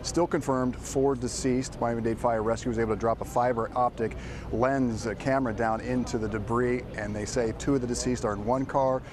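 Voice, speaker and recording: A middle-aged man reporting for TV news in a live, scripted style. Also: mid-range voice. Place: outdoors by a road at night. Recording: clear outdoor street noise behind.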